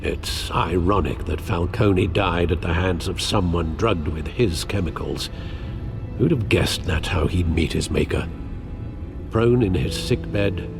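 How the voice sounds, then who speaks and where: An actor playing a loyal older butler in a video game, solemn and wry, with a measured, formal manner and gravelly voice. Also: male voice, low voice, over music. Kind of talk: cinematic video game acting.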